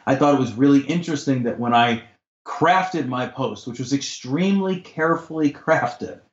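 A man's voice: mid-range voice, animated, moderate pace. A man in a podcast conversation, talking in an assertive, impassioned way that turns to swearing when he gets heated. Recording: roomy, dull lo-fi sound.

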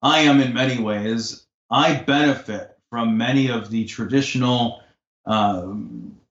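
A man's voice: fairly low pitch, a bit bright. Man, assertive, impassioned, podcast talk, roomy echo, muffled lo-fi sound. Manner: assertive, impassioned, swears when heated. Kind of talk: podcast conversation.

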